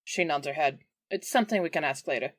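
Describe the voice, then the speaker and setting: A woman's voice: low-pitched, fast talker, dry recording. A woman playing a tabletop roleplaying game on a podcast, speaking casually in and out of character.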